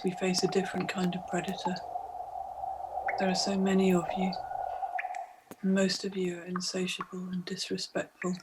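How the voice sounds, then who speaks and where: A woman narrating a guided meditation from a script, in a deliberate, immersive and poetic way. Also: on the low side, heavy background noise. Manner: deliberate, immersive second-person storytelling. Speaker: meditation guide, multimedia artist.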